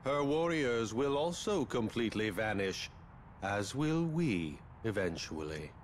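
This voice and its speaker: A man playing a cold, scheming, grandiose villain in a video game, with a pompous, measured, ominous manner. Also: lowish voice, big pitch swings, slight background noise. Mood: solemn. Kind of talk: dramatic video game voice acting. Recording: loud music behind the voice.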